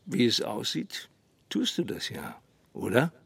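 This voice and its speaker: An actor playing a middle-aged, world-weary small-town sheriff in a radio drama, laconic and measured. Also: male voice, mid-range voice, wildly swooping pitch, creaky, slightly rough, no echo, full character voice. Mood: wry, weary. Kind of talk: naturalistic radio drama acting.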